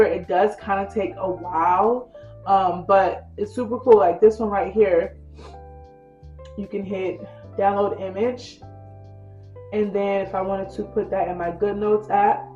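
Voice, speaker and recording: A woman vlogger giving a casual tutorial, chatty and full of fillers, a bit congested from a cold. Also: mid-range voice. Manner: addresses viewers as "girl" and "you guys". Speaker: lifestyle vlogger. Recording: dull roomy recording with soft music.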